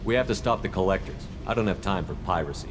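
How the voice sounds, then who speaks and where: A man's voice: sits low, lively intonation, fast talker, background noise. A man playing a resolute military commander in a video game, with a direct, businesslike way of talking.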